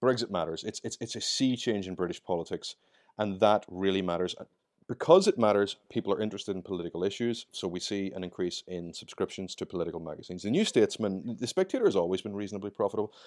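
Deep-voiced Irish man, discursive. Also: expressive, quick pace, darkish tone. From Ireland. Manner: discursive, repeats words when starting sentences. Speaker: guest commentator. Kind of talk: podcast discussion.

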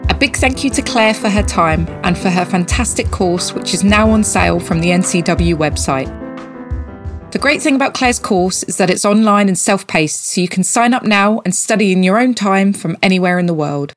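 A woman's voice: mid-range voice, expressive, moderate pace. A woman reading a scripted promotional message as a podcast announcer. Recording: dry studio sound over music. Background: music.

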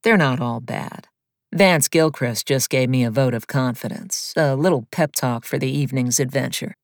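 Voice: mid-range voice, moderate pace, no echo, clean studio sound, close to an everyday voice. A narrator voicing a wry, composed young woman flight attendant in an audiobook. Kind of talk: audiobook character voice.

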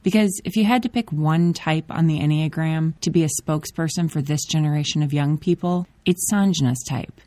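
A woman hosting a podcast, giving a scripted introduction in a measured delivery. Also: sits low, dark tone, dry recording. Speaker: podcast host.

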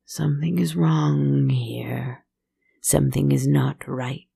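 A storyteller voicing an old woman fortune teller, speaking in a slow, hissing whisper that is low and gravelly. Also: little pitch movement, warm tone, dry recording, clean studio sound, heavily acted. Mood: troubled.

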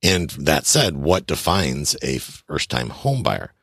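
A man's voice: a bass voice, sing-song, slow, fairly bright tone, husky, no echo, studio quality. A man in his fifties hosting a podcast, giving blunt, chatty advice on real estate and taxes straight to his listeners. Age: 50s. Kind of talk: casual advice monologue.

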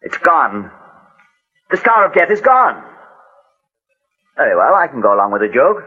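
A wealthy, imperious gentleman host in a 1940s radio drama. His voice is husky and very animated, with formal, theatrical phrasing. The old recording is thin and phone-like. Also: fairly high pitch, moderate pace, faint music behind. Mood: angry, commanding.

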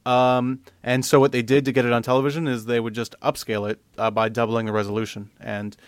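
A man's voice: medium pitch, flat delivery, fast talker, smooth, no echo. A man in his twenties on a casual podcast, explaining technical details and laughing often. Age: 20s. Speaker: podcast co-host.

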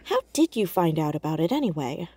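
An actress playing an earnest, caring teenage girl in an audio drama, speaking in a hesitant, halting, naturalistic way. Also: low-pitched, very animated, dark tone, slightly rough, exaggerated voice. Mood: puzzled, guarded. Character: earnest, awkward, caring teenage girl amateur sleuth, hiding in fear.

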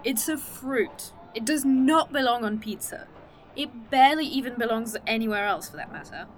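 A woman's voice: a little high, a little hiss or hum, close to an everyday voice. An actress playing a snarky, teasing woman time traveler with a fake arm in an indie audio drama. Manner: dry, teasing, quick-witted.

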